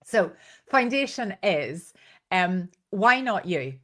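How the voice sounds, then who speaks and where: A woman's voice: mid-range voice, very animated, slow pace, bright, forceful tone. A woman with a Northern Irish accent giving an informal live training talk as host, chatty and given to storytelling asides. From Northern Ireland.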